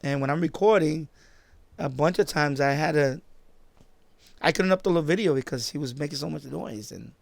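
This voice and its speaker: Gravelly New York man chatting casually. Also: on the high side, normal speed, no room sound. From born in Puerto Rico, grew up in the Bronx, New York. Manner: rambling, informal, often repeats phrases. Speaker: live stream host, shortwave radio hobbyist. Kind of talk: casual live stream chat. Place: basement at home.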